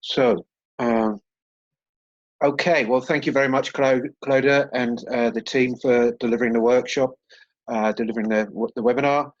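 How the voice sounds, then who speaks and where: A man's voice: medium pitch, fairly even intonation, normal speed, no echo. Hesitant man presenting over dull call audio. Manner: hesitant, many fillers and restarts. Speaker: professor presenting research. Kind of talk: webinar presentation. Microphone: video call.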